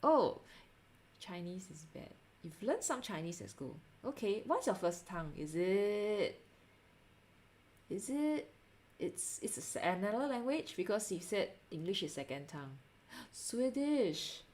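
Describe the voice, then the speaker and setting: A young adult woman with a Singaporean accent, chatting casually on a livestream as she draws, in a halting, self-deprecating, giggly manner. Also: medium pitch, very animated, darkish tone. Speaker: art livestreamer. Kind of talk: casual livestream chat while drawing.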